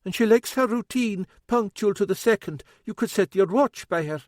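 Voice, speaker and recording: A man doing a nervous older Scottish lady, high and fluttery, fussy and apologetic, dry close sound. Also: expressive, normal speed, dry recording. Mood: anxious. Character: timid older Scottish woman, fretful cook.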